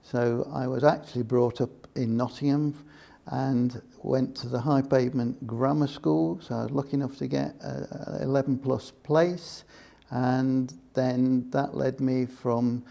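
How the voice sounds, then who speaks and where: An older man from Scotland recounting his working life in an oral history interview, measured and explanatory, often restarting his sentences. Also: medium pitch, fairly even intonation, creaky, warm tone. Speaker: interviewee, former computing employee.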